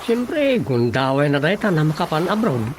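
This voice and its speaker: An actor playing a practical, agreeable nephew in an Ilocano radio drama comedy, conversational and persuasive. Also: male voice, a little high, very animated, moderate pace, a little hiss or hum.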